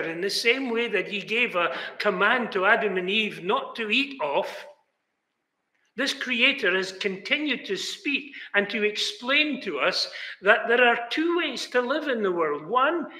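A man's voice: high voice, pushed and bright. An older man preaching a sermon in a small chapel, earnest and emphatic. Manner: earnest, emphatic, gestures with both hands. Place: small chapel, indoors, banner behind. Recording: roomy chapel echo.

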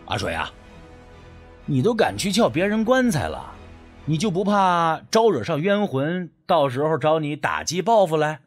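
Actor as skeptical storyteller man, very animated delivery. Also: fairly high pitch, normal speed, faint music behind. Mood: measured, wry. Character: skeptical, sardonic man narrating a folk ghost story. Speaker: narrator and first-person lead of an audio novel. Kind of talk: audiobook storytelling with acted dialogue.

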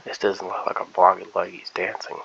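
A man's voice: deep voice, completely flat delivery, brisk, vocal fry. A man hosting a gaming video with casual commentary, often musing to himself as he plays. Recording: muffled lo-fi mic with faint noise.

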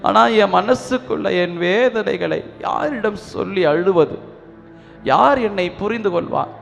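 A man leading a spoken prayer as a priest, pleading fervently, swinging between loud appeals and hushed sorrowful passages. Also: high voice, measured pace, gravelly, muffled recording. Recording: long reverb with faint music behind.

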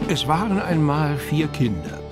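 A man's voice: fairly high pitch, sing-song, unhurried. A man narrating a children's fantasy radio play as a storyteller. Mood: calm storytelling. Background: music.

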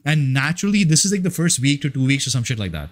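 A man's voice: mid-range voice, very animated, quick pace. A man who works as a fitness and life coach, giving a casual motivational monologue in a rambling, profane and emphatic way.

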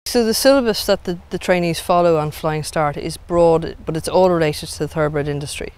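Smooth-voiced middle-aged woman explaining calmly. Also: sits low, fairly quick. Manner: composed, explanatory. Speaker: programme manager. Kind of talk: interview answers. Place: outdoors on a grassy field.

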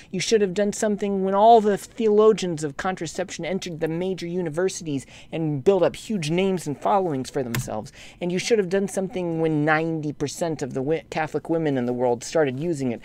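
A man delivering a lecture voice-over over slides, scolding church leaders in a rebuking tone that climbs sharply when indignant, and turning measured when explaining history. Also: high-pitched, darkish tone. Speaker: lay commentator addressing bishops.

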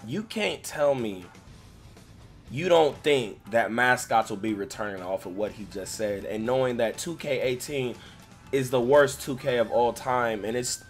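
A young American man talks in a casual, profane style, like a gaming creator venting to his viewers. His pitch sits mid-range and his delivery is slangy and animated. Music plays behind his voice. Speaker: gaming video creator commenting on clips. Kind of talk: casual, profanity-laden commentary.

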